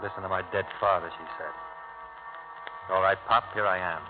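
Male actor as bitter ex-con, low voice, clipped hard-boiled delivery, 1950s radio drama, tinny band-limited broadcast. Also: steady tone, normal speed, lightly acted. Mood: brooding, reflective. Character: bitter vengeful ex-convict, Italian-American man, brooding and hard. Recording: old tinny radio broadcast, music behind.

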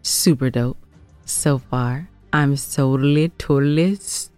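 A woman's voice: rumbling low, slow, darkish tone, smooth, faint music behind. A woman co-hosting a casual recap podcast, gushing and repeating herself for emphasis. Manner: effusive, gushing, emphatic repetition. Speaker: podcast co-host and scriptwriter.

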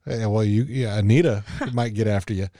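A man's voice: lowish voice, fairly quick, dark tone. Fifties male host, laid-back, keeps the conversation moving. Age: 50s. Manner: easygoing, steers the talk, laughs often. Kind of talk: casual chat about a TV show.

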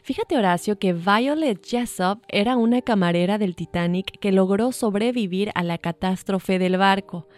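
A woman's voice: medium pitch, moderate pace, hardly any pauses, darkish tone. A woman, co-hosting a casual chat and telling a story with many fillers. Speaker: podcast co-host.

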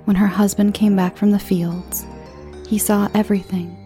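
A woman's voice: medium pitch, little pitch movement, normal speed. A woman storyteller hosting and narrating frightening fairy tales from a script. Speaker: podcast host and storyteller. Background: soft music.

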